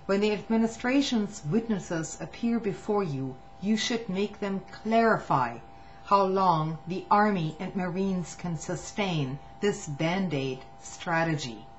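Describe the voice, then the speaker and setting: Woman reading aloud over muffled hum. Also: lowish voice. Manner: measured reading with occasional stumbles and restarts. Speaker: reader of a public document. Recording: muffled lo-fi recording with faint hum.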